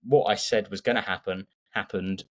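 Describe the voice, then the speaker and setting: A man's voice: lowish voice, fairly even intonation, normal speed. A man co-hosting a motorsport podcast, analysing in a casual, opinionated and emphatic way.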